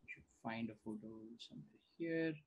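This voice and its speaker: Man demoing software over a call. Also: mid-range voice, a little fast, highs cut off, slight background noise. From India. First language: Gujarati. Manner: conversational, many "uh" fillers and "right?" tags. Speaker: startup founder giving a product demo. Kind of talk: screen-share product walkthrough. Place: indoor room on a video call. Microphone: video call webcam mic.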